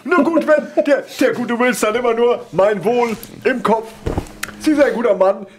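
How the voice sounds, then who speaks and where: A man playing a frail, haughty old count in an improvised audio drama, halting and pompous. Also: extremely high pitch, a little hiss or hum. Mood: jovial. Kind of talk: improvised tabletop role-play audio drama.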